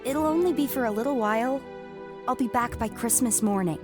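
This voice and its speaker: A woman's voice: on the high side, fairly quick, music in the background, lightly acted. A woman playing a determined, feisty young girl with a blunt, youthful manner in a family audio drama.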